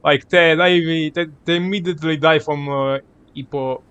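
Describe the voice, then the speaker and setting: A man's voice: a little high, steady tone, moderate pace, a bit bright, clean tone. A man who is a non-native English speaker gives casual live game commentary, rambling and searching for words. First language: not English. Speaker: game streamer.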